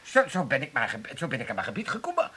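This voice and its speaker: A self-pitying old Amsterdam father from a comic radio play. His voice is bright and forceful, and he complains theatrically and barks orders as if everyone owed him attention. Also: mid-range voice, wildly swooping pitch, quick pace, slightly rough, full character voice. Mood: bossy, gleeful. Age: older.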